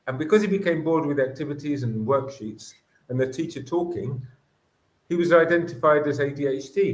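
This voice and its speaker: A man whose first language is Greek speaks about schooling with earnest passion and often restarts his sentences. His voice sits at a middle pitch and rings out in a roomy, echoing space. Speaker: guest educator, former school principal. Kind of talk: conversational discussion.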